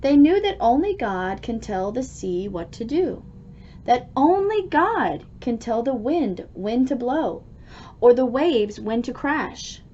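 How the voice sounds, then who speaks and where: Woman, children's story reader, highish voice, very varied intonation, deliberate dramatic emphasis. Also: very animated, warm tone, highs cut off. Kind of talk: expressive children's storybook read-aloud.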